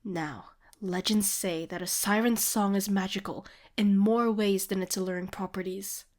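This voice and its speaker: Female showwoman, acted for fantasy audio drama: theatrical tour guide, grand salesmanlike delivery, dramatic pauses. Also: medium pitch, steady tone, darkish tone, lightly acted. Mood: smooth, showy. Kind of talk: scripted fantasy audio roleplay.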